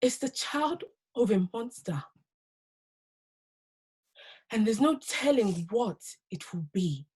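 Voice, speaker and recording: A woman performing a dramatic stage monologue as a wounded, bitter wife, with deliberate, halting, impassioned delivery. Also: fairly high pitch, big pitch swings, a little mellow, breathy edge, exaggerated voice. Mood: anguished, doubtful. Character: wounded, bitter wife who survived violence and rejection.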